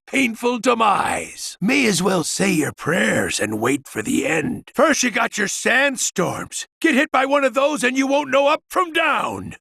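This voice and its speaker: Folksy desert outlaw for a game: raspy, bright, theatrically grim, very swooping delivery. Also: male voice, very high-pitched, no room sound, studio quality, exaggerated voice. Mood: warning. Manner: folksy, coarse, dramatic doom-saying. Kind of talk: video game character voice acting.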